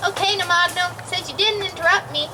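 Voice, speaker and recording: High-voiced lady emceeing a puppet show, bouncy showy delivery, outdoor noise behind. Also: fairly quick. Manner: showy, build-up announcer style. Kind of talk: announcing acts at a puppet show.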